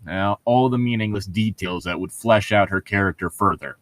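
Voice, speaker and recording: A man's voice: on the low side, normal speed, fairly bright tone, no room sound. A man reading a novel aloud and mocking it with crude, sarcastic, irreverent commentary. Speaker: co-host of a comedic read-along.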